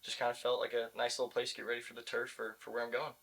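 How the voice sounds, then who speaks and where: A man's voice: medium pitch, completely flat delivery, rapid-fire, a bit bright. A young man answering questions casually as a college athlete and guest on a podcast. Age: young adult. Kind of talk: casual interview chat.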